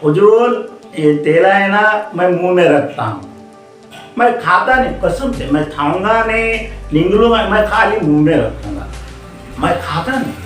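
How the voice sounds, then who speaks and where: A man's voice: high-pitched, very animated, a little slow, a bit bright, reverberant, distant mic, soft background music, pitched above the performer's own voice, heavily acted. A man voicing a little monkey child who pleads repetitively in babyish, garbled words. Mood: pleading.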